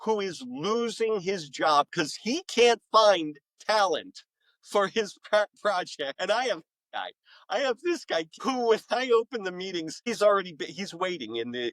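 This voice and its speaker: A middle-aged man chatting as an interview guest, enthusiastic and emphatic, pausing and repeating words for effect. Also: sits high, a bit bright, no room sound, low-quality recording. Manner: enthusiastic, emphatic storyteller with dramatic pauses and repetition. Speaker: author and voice-acting school director, podcast guest. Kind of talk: casual, animated interview chat.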